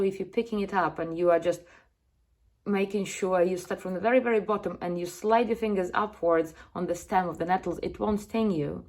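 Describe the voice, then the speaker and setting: A woman in her thirties, originally from Russia, speaking in a somewhat low voice. She explains her cards in an earnest, unhurried way, often pausing with fillers and starting sentences over. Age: 30s. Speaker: card reader presenting her deck. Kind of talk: casual spoken explanation to camera.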